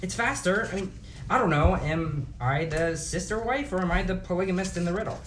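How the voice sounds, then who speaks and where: A woman's voice: on the low side, fairly quick, a little hiss or hum, close to an everyday voice. A woman playing an exasperated, sardonic young male drive-through worker in a comedy script reading. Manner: sardonic, weary. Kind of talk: script table read of a comedy.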